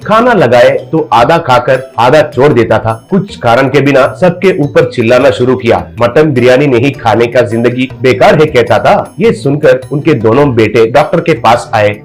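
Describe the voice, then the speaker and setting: A man reading out a scripted moral story as storyteller, with music behind him. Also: mid-range voice.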